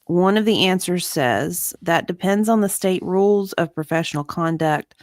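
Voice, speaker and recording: A woman's voice: fairly low pitch, moderate pace, dry recording, studio quality. A woman co-hosting a livestream in a casual, chatty style, at times reading aloud. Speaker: livestream co-host. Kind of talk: casual chat, reading aloud from web pages.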